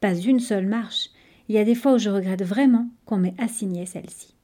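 A woman's voice: medium pitch, fairly quick, dark tone, close to an everyday voice. Woman as a weary, bossy creature mentor. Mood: grumbling. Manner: grumbling, bossy, curt. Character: tired, impatient female creature training a young novice. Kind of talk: audiobook storytelling.

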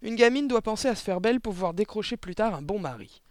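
A man's voice: high voice, lively intonation, quick pace, a little mellow, dry recording, clean studio sound, pitched above the performer's own voice. A man voicing a vulgar, irritable housewife in a storybook, with snappy, disdainful, slangy delivery. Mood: scornful.

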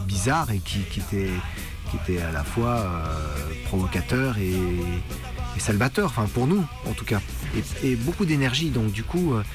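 A man's voice: on the low side, animated. A middle-aged man from France reminiscing in a documentary interview, searching for words and repeating himself as he goes. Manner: halting, searching for words with repetitions. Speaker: punk musician interviewee. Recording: loud music behind the voice.

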